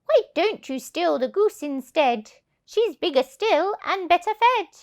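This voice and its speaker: A woman voices a downy female duck in a children's tale. She pleads and coaxes in a high, very animated voice. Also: measured pace, full character voice. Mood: pleading. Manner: pleading, coaxing.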